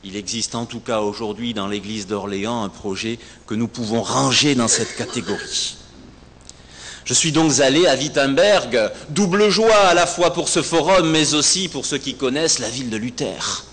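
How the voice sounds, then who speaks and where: A man's voice: medium pitch, fairly bright tone. Male pastor, mid-range voice. Rhetorical, emphatic sermon delivery in a long-reverb hall. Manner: rhetorical, emphatic, with deliberate repetition and pauses. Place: large reverberant hall, speaking to a congregation. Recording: echoey hall, long reverb, some background noise.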